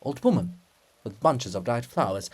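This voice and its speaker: A man narrating stage directions for a dramatic play reading in a measured, theatrical manner. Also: mid-range voice, very animated, a little mellow, breathy edge.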